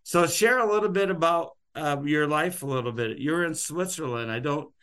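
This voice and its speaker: A man's voice: on the high side, moderate pace, fairly bright tone, clear, resonant tone. A man hosting a podcast interview, talking in a loose, rambling, unscripted way. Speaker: podcast host. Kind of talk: casual interview chat.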